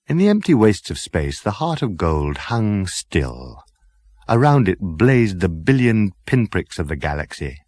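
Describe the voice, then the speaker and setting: A man's voice: very deep voice, darkish tone, dry recording, clean studio sound. A man reading a comic science-fiction audiobook as a dramatic scripted narration.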